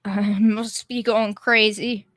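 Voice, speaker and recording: A woman's voice: mid-range voice, level tone, slow, fairly bright tone, no echo. A young female performer playing a blustering, cowardly middle-aged father in old-time radio comedy style, folksy, wisecracking and ungrammatical. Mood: frightened. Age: teenager.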